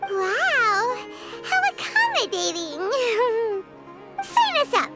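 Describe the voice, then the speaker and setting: A woman voicing a small, excitable, boastful female fairy-like companion in a video game, with a squeaky childlike voice and eager delivery. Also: drawn-out words, loud music behind the voice, full character voice. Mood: excited, eager. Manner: speaks of self in third person, exclaims eagerly. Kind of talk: English video game voice acting.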